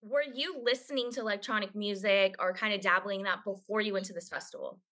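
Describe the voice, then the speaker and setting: A woman's voice: medium pitch, steady tone, fast, bright, forceful tone. A woman hosting a casual chat interview, talking in an effusive, informal way with some swearing. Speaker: podcast host.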